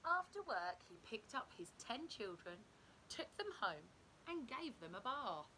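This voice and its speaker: A middle-aged woman reading a children's story aloud like a teacher, with deliberate, emphatic storytelling for young children. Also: fairly high pitch, sing-song, bright, forceful tone, slight background noise, low-quality recording. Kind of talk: expressive picture-book read-aloud.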